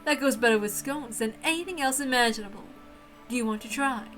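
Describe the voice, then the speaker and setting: Woman acting an elegant, high-voiced witch hostess. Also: brisk, fairly bright tone, soft background music, lightly acted. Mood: warm, proud. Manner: formal, stately, courteous phrasing. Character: elegant, gracious witch hostess, grandly proud yet innocently friendly.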